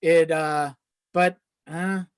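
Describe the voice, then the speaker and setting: A man's voice: on the high side, steady tone, drawn-out words, clear, resonant tone, no room sound. A man chatting as a guest on a podcast, recorded dry and close with little room sound. His talk is loose and conversational, with swearing and a self-deprecating streak. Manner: casual, profane. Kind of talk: casual chat.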